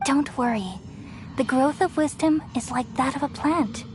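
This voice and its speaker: A woman voicing an ancient, motherly goddess of wisdom who speaks calmly and soothingly in a game cutscene. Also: sits high, background noise, natural voice. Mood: serene. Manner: calm, measured and soothing. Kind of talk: video game cutscene dialogue. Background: game score music.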